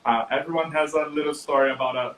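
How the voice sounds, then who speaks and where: A man co-hosting a casual chat on a video call, asking the guest questions in a measured way. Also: fairly high pitch, steady tone, a bit bright, a little hiss or hum. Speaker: co-host. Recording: echoey room on a call.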